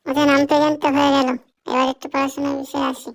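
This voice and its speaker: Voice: sits high, level tone, racing, rough recording. A performer voicing a strict, bossy cartoon schoolteacher who scolds and instructs pupils in a comedy dub. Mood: businesslike.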